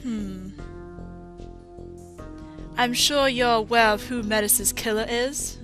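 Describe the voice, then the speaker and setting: A woman playing a bitter, sharp-tongued robot engineer in a video game fan dub, brusque and mocking. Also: fairly high pitch, takes it slowly, over music. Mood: cool, probing.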